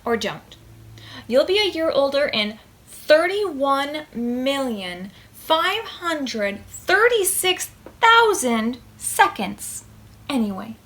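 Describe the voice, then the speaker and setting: A woman hosting a preschool storytime, reading a picture book aloud with deliberate, expressive phrasing for small children. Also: high voice.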